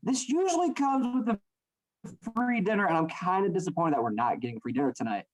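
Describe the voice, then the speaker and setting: Male actor, very lively intonation, teasing, mock-complaining banter. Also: high voice, fast talker, rough recording. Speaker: radio-play actor on a live stream.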